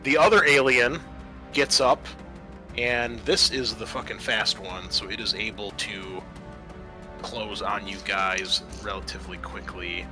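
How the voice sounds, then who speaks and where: A man's voice: on the low side, a little slow, over music. A man narrating a tabletop horror roleplaying game as game master, casual and prone to swearing.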